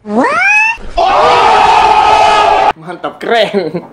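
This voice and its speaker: A man's voice: sits high, huge pitch swings, very slow, shouting, a loud crowd, heavy background noise. A man with a Kendari, Southeast Sulawesi Indonesian accent, hosting a casual interview in a chatty, teasing way and repeating phrases for emphasis. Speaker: YouTube interviewer and host. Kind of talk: casual, joking interview chat.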